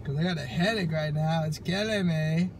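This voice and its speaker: A man's voice: on the high side, normal speed, slight background noise. A young man with a cold, coughing now and then, chatting casually and joking on a handheld phone vlog at home and in a car. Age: young adult. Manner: joking, laid-back, laughs often. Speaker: vlogger. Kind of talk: casual couple vlog chat. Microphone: handheld phone camera.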